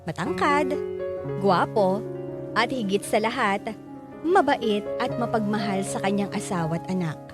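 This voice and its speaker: A woman's voice: mid-range voice, lively intonation, measured pace, pauses often, natural voice. A woman voicing an earnest letter sender, narrating memories of an admired parent in a scripted radio drama. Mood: earnest, reflective. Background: background music.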